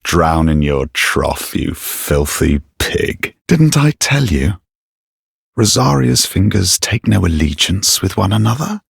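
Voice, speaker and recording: A sly, menacing knight turned assassin from a dark fantasy game. He speaks very low and unhurriedly, with creaky gravel under a silky, haughty formality. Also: dry recording, studio quality, exaggerated voice. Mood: cold, threatening. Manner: silky, deliberate, theatrically formal. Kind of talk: video game character dialogue.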